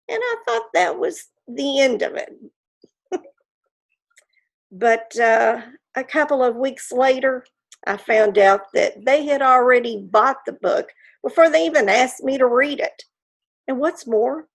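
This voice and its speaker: Woman acting an arch, creaky-voiced Hollywood stage mother. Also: on the high side, full character voice. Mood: indignant, scornful. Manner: chatty, arch, self-satisfied, confiding asides. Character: proud, gossipy Hollywood stage mother, staunchly anti-communist, 1940s. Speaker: actor in a staged reading. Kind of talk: theatrical monologue, staged reading.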